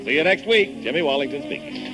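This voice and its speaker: A male radio announcer with a fairly high, very animated delivery. He reads scripted sponsor copy and credits in 1950s style, with music clearly behind him. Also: fast, low-quality recording. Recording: vintage radio broadcast with music.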